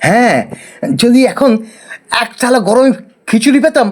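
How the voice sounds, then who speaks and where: A man playing a poor, simple, honest village farmer in an animated fairy tale, with a plaintive, earnest way of exclaiming. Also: very high-pitched, moderate pace. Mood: eager.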